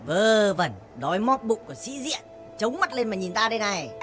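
Actor as boastful young mouse schemer, cartoon, scornful bragging, lively pitch, clear backing music. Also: a little fast, lightly acted. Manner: scoffing, bragging. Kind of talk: animated cartoon voice acting.

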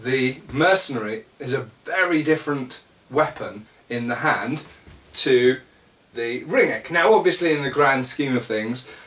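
A British man in his forties who hosts a channel, talking casually to camera with a congested voice while recovering from a lost voice, in a small indoor room. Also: medium pitch. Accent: British English. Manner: relaxed, explanatory, with many fillers. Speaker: channel host. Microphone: camera mic at a short distance. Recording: echoey room, slightly distant mic.

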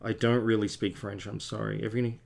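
A man's voice: lowish voice, little pitch movement, a little fast, vocal fry, a little mellow. A man in his forties, a comic artist chatting in a rambling, self-deprecating way to stream viewers while he draws at home. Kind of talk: rambling casual chat while drawing. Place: home studio at a drawing desk.

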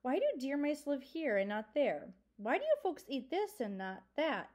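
A curious, ill-mannered young mouse, as a woman reading the story puts him on, firing off blunt questions one after another. His voice is raised and lively, bouncing in pitch. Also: normal speed. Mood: pressing. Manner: persistent, pushy questioning. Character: curious young male golden mouse, brash outsider.

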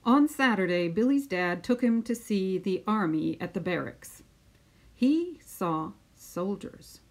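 A woman in her fifties with a creaky, slightly husky voice and a medium pitch. She speaks as a teacher does when reading a picture book to children, phrasing deliberately and leaning on key words. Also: expressive, darkish tone. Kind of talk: children's storybook read-aloud.

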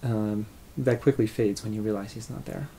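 A man in his thirties speaking reflectively in a documentary interview, pausing to find words. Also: fairly low pitch, fairly even intonation, dark tone, a little hiss or hum. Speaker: adoptive parent, interviewee. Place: living room, indoors.

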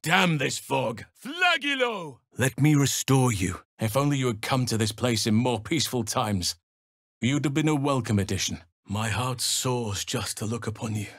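An actor playing a gentle, solemn male elf druid healer in a video game, speaking in earnest, measured, formal phrases. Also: medium pitch, sing-song, no room sound, studio quality. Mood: battle urgency. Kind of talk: video game character voice lines.

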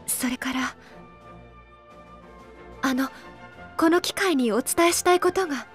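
A woman's voice: high-pitched, slow, faint music behind. A woman playing a dignified young princess in a Japanese video game, speaking politely and formally with earnest resolve. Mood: earnest, courteous. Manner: polite, formal, composed speech.

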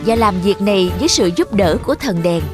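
A woman's voice: mid-range voice, lively intonation, brisk. A woman reading scripted children's story narration as the narrator. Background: music under the voice.

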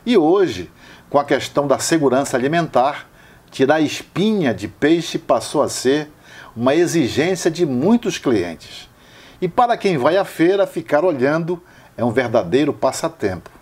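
A man's voice: on the high side, sing-song, a little slow, darkish tone. A man narrating a travel video voice-over about a fish market, with admiring delivery.

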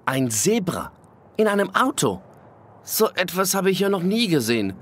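A friendly motorist in a children's radio play. He is polite and helpful, with a lively, varied melody. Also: high voice, normal speed, a little hiss or hum. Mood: amazed. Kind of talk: children's audio drama acting.

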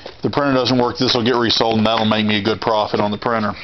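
A man's voice: fairly low pitch, level tone, fast talker. A man in his thirties with a Texas accent, casually walking through his auction haul in a plainspoken, rambling way, filmed on a handheld camera indoors. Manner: rambling, plainspoken, frequent restarts. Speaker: auction reseller vlogger. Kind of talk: casual haul video walkthrough. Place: indoor storage room. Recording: dull lo-fi camera audio with clear noise.

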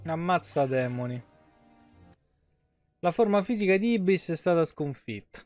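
A man's voice: on the high side, a little slow, faint music behind. A young man giving casual, foul-mouthed gameplay commentary as a live streamer. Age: young adult. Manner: foul-mouthed, animated reactions to game and chat. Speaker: video game live streamer. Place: small indoor room at home.

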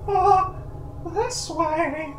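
A creepy cartoon mouse, sweetly coaxing. The squeaky falsetto is very high and slow, in a roomy echo over faint music. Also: male voice, exaggerated voice. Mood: eerily coaxing. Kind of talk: cartoon horror parody dub.

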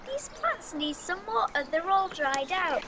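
Very high-voiced, devoted mother goddess played by a woman. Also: big pitch swings, unhurried, pushed and bright, slight background noise, heavily acted. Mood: tender. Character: Demeter, anguished, devoted mother goddess of harvest. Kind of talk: school audio drama acting. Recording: long reverberant tail.

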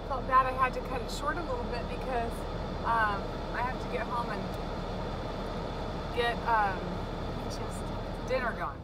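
A woman's voice: on the high side, fairly even intonation, takes it slowly, long pauses, heavy background noise. A woman in her thirties chatting casually to a handheld phone camera in her car, in a shop and at home, rambling and upbeat. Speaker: family vlogger. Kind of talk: casual vlog chat.

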